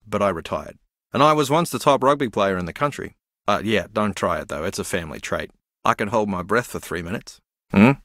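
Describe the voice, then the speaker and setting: Low-voiced, guileless athlete, lively intonation. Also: male voice, no echo, studio quality. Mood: guileless, matter-of-fact. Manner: deadpan, guileless, halting, rambling. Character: earnest, spacey male rugby-champion reality show contestant. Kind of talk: video game character dialogue.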